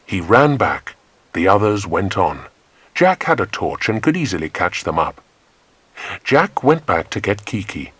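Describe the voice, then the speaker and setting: A man with an English accent narrating a children's adventure story in a measured, dramatic storytelling manner. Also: lowish voice, very animated, a bit bright, husky, no room sound. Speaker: audiobook narrator.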